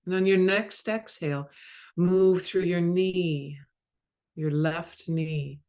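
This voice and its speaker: Very slow-paced woman guiding meditation. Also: fairly low pitch. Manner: calm, measured, with long pauses between short phrases. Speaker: mindfulness coach and meditation guide. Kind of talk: guided body scan meditation.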